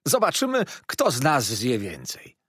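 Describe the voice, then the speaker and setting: Storybook role: sly jovial servant, acted by a man, talkative and dramatic, very animated delivery with a rough edge. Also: mid-range voice, a little slow, no echo, clean studio sound, exaggerated voice. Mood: gleeful. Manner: chatty, exclaiming, theatrically dramatic. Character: sly, jovial manservant with a hearty appetite. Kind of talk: dramatised audiobook reading.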